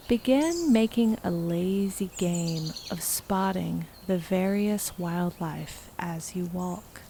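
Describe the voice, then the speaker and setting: A woman narrating a guided meditation softly and slowly, breathy and often close to a whisper. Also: medium pitch, a little hiss or hum. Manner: soft, slow, partly whispered.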